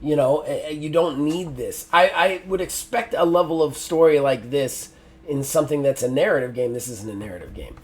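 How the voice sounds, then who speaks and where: A man's voice: fairly high pitch, fairly quick. A middle-aged man giving a frank spoken game review, often exasperated, with lots of fillers and restarts.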